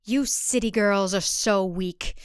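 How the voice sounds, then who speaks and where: A woman's voice: mid-range voice, slow, clean tone, close to an everyday voice. A woman voicing a condescending, menacing male cult leader and husband in a thriller audio drama. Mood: contemptuous. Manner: condescending, mocking, self-righteous.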